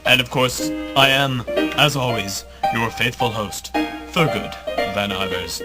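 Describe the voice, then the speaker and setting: A man's voice: medium pitch, takes it slowly, loud music behind the voice, close to an everyday voice. A man playing a grandiose radio drama host, narrating a superhero tale in a pompous, theatrical manner. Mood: stately, genial.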